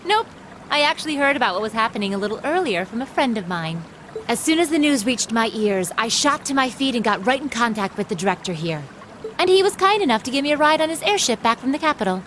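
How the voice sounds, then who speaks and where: A woman's voice: fairly high pitch, very animated, quick pace, a bit bright, a little hiss or hum. A woman playing a breezy, teasing young academy instructor in a video game, casual and wry.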